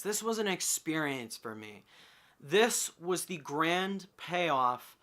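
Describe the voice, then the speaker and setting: A young man giving an enthusiastic video review in an excitable, emphatic, informal way with some mild swearing. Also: fairly high pitch. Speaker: movie reviewer.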